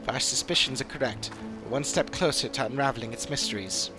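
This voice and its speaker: A man voicing an earnest, articulate investigator from a video game, speaking in a measured, formal way. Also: medium pitch, lightly acted. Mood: thoughtful, hopeful. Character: earnest, articulate investigator ally briefing a comrade. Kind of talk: scripted video game dialogue. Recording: game music behind voice.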